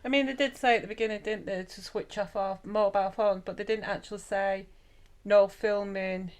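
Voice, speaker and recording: A woman's voice: mid-range voice, fairly even intonation, moderate pace. Woman in casual chat. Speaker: family vlog co-host. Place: hotel room.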